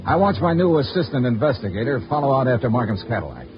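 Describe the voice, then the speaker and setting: An actor playing a terse, cynical insurance investigator in a mid-century radio detective drama, narrating and questioning in a clipped, wry manner. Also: male voice, mid-range voice, sing-song, fast talker, natural voice. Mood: brisk narrating. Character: hardboiled insurance investigator, male, brisk, cynical, commanding. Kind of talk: 1950s radio detective acting, clipped first-person narration. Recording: dull vintage radio recording, music behind.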